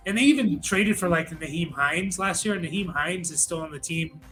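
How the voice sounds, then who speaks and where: A man's voice: fairly high pitch, fairly quick, sharp tone, faint music behind, low-quality recording. A man hosting a fantasy football show in a casual, conversational sports rankings style.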